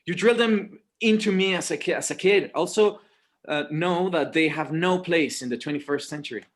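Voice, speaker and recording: A man's voice: a little high, moderate pace, natural voice. A man acting as an exasperated but dutiful forty-year-old son, hesitant and weary, in a naturalistic table read. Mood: weary, pleading.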